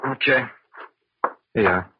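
An actor playing an observant, earnest FBI agent in a 1940s radio crime drama, with a raspy, matter-of-fact voice. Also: male voice, medium pitch, very slow, slight background noise. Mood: alert. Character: observant, steady male FBI agent, junior partner. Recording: muffled, dry old radio recording.

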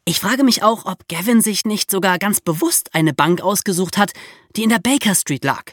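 A woman co-hosting a podcast, explaining true crime in a lively storytelling way with rhetorical questions. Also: medium pitch, moderate pace, no room sound, clean studio sound. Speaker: podcast co-host.

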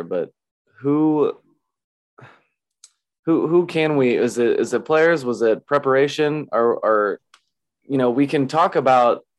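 A man hosting a casual sports chat, his voice raspy and hoarse, rambling and restarting as he talks. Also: medium pitch. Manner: rambling, self-interrupting, laughs often. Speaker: podcast host.

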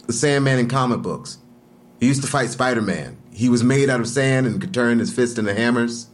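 An actor playing a defensive street artist under police questioning in an audio drama, speaking naturally and tersely. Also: male voice, medium pitch, a little fast, natural voice. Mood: guarded. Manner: terse, guarded.

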